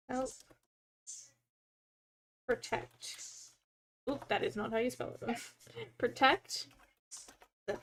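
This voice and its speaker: A young Australian woman talks at an unhurried pace, giggling and teasing herself as she types. Her voice sits fairly high. She often mutters to her screen. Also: slight background noise, low-quality recording. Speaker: co-host. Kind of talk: casual worldbuilding chat while typing.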